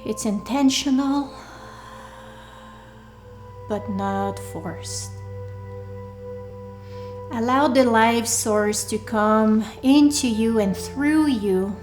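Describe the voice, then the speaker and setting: A woman leading a guided meditation in a soothing, deliberate way, leaving pauses between short phrases. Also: fairly high pitch. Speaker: meditation guide, workshop leader. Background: soft background music.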